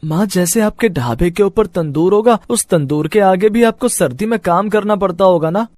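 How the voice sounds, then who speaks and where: A woman voicing a caring young schoolboy talking softly to his mother in a cartoon story. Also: mid-range voice, moderate pace, slight background noise, natural voice. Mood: wistful.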